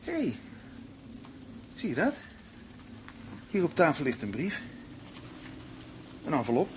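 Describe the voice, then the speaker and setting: An actor playing a tense, evasive husband in a radio play, with a husky, hesitant, placating way of talking. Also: male voice, fairly high pitch, huge pitch swings, takes it slowly, a little hiss or hum, full character voice. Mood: placating, dismissive. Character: tense, evasive husband taking a nervous woman away. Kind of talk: Dutch radio play acting.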